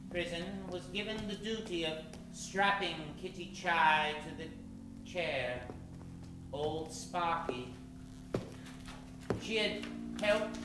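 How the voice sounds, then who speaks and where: A man narrating an old time radio drama as host, theatrical and suspenseful with halting phrasing. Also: high voice. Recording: very echoey hall, clear background noise.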